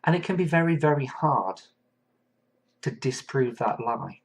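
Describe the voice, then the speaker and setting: A man from England hosting a solo podcast, talking in an earnest, thoughtful way and pausing often with fillers. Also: medium pitch, lively intonation, husky. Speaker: podcast host. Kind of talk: reflective solo podcast monologue.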